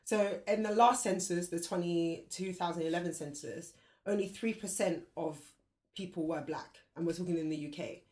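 A speaker in a casual group discussion who explains things calmly with facts and figures. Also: medium pitch, moderate pace.

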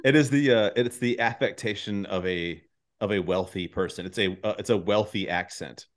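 A man's voice: fairly low pitch, moderate pace. A man hosting a casual podcast interview, asking informal, meandering questions with a hesitant delivery. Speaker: podcast host.

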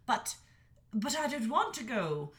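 A woman voicing a meek, sad young male dragon who stammers softly. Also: fairly high pitch, big pitch swings, unhurried.

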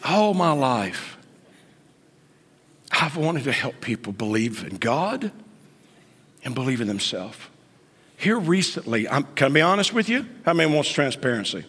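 A man in his late fifties, a pastor preaching a sermon in a church, dropping to whispers and then rising to high, loud outbursts. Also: sing-song, a little slow, pauses often, husky. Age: 50s. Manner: swings from hushed whispers to sudden high outbursts. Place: church sanctuary. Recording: long church reverb.

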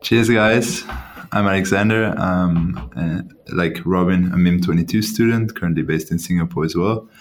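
A young man from Austria, a student guest speaking in a confident, measured way. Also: low voice, a little hiss or hum.

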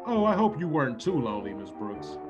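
A man's voice: medium pitch, very animated, fairly quick, over music, lightly acted. A man playing a fumbling playboy bachelor in a comedic radio play. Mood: polite, fumbling. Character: tipsy playboy bachelor devoted to his dog.

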